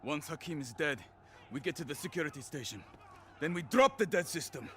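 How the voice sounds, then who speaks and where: A zealous rebel in a video game, briefing allies. His pitch is high for a male and moves around a great deal as he talks. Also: lively intonation, a little fast, a bit bright. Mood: determined. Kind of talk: video game cutscene acting.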